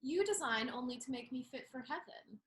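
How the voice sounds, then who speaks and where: Woman playing a high, lively, saucy young noblewoman. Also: fairly quick, background noise. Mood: coy challenge. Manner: teasing, saucy repartee. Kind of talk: Restoration comedy stage acting.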